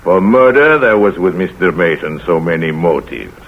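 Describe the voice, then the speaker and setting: An actor playing a portly, polite and sly Belgian detective with a put-on French-Belgian accent and a halting, fussy delivery, in a 1940s radio play. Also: male voice, low voice, lively intonation. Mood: courteous, sly. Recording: muffled old radio broadcast.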